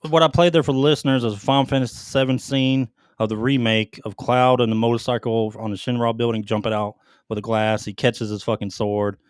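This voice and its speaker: A man's voice: mid-range voice, little pitch movement, fairly quick, hardly any pauses, clean tone, no room sound, clean studio sound. A man hosting a gaming podcast, chatting casually and emphatically with plenty of swearing. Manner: profane, emphatic fan talk. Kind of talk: casual chat, counting down favorites.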